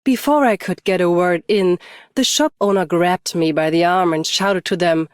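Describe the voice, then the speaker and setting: A woman's voice: lowish voice, moderate pace, clean tone, no echo, lightly acted. Actress doing a stoic swordswoman bodyguard for a game, restrained n commanding, close dry recording. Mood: calm, dry. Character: stoic battle-hardened champion swordswoman turned bodyguard. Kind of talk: scripted game character voice lines.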